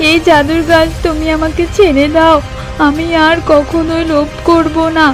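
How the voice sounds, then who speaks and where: Woman as a greedy high-voiced cartoon tigress. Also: flat delivery, normal speed, music in the background. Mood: pleading. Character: greedy, scolding tigress neighbor. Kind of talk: children's cartoon voice acting.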